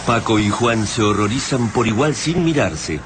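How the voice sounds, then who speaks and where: A man's voice: lowish voice, lively intonation, normal speed, background noise. A man narrating a radio drama in a scripted, dramatic storytelling style. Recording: music behind the voice.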